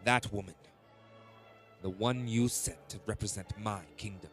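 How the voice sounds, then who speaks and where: Menacing, low-voiced king played by a man. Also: steady tone, unhurried, fairly bright tone, faint music behind. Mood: cold threat. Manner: threatening, scornful. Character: cold, menacing king, a contemptuous domineering father. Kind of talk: audio drama acting.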